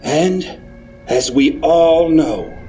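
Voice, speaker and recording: An actor playing an ancient, paternal vampire aristocrat giving a formal, stately and condescending speech. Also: male voice, a little high, lively intonation, extremely slow, warm tone, soft background music. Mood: grand, benevolent. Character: lordly, paternal male vampire aristocrat of great age. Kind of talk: formal speech in an audio drama.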